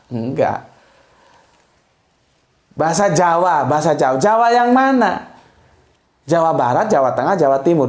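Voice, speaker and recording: A man giving a casual religious talk, explaining things in a digressive way, chuckling now and then and tagging his lines with little fillers. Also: on the high side. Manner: digressive, explanatory, tags lines with "ya" and "gitu loh". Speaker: Islamic speaker. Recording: roomy echo.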